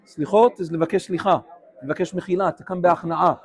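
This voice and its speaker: Man, slightly high voice, animated informal teaching, mixes English and Hebrew. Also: brisk. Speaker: rabbi teaching a class. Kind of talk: informal Torah class with questions.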